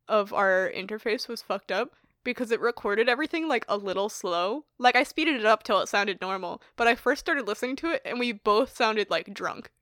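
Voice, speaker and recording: A woman's voice: medium pitch, fairly bright tone, smooth, no room sound, clean studio sound. A young woman co-hosting a podcast, chatting casually, saying "like" often and laughing easily. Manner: chatty, laughs a lot, lots of "like". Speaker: podcast co-host. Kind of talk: casual chat.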